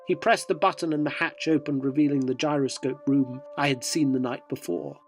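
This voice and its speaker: Man as higher-voiced, earnest reporter over music. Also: steady tone, a little fast, close to an everyday voice. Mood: uneasy, awed. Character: earnest, inquisitive male magazine reporter narrating his adventure. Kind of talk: scripted radio drama narration and interviewing.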